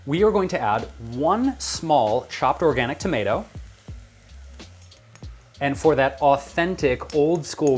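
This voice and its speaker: An American man presenting a recipe as a cooking show host, chatty, playful and enthusiastic in his delivery. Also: medium pitch. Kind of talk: upbeat recipe demonstration to camera. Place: kitchen.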